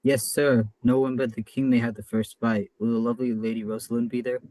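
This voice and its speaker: A man's voice: medium pitch, level tone, fairly quick, mellow, natural voice. A man playing a dutiful, lovestruck baker's apprentice in a radio comedy, earnest and deferential. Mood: eager, dutiful. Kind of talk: old-time radio comedy acting.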